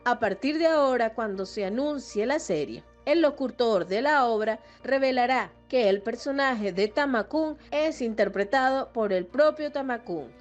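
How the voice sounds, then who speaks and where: Woman narrator with a high, formal voice. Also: lively intonation, lo-fi sound, soft background music. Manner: measured, formal storytelling delivery. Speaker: documentary narrator. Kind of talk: scripted narration reading an old press report.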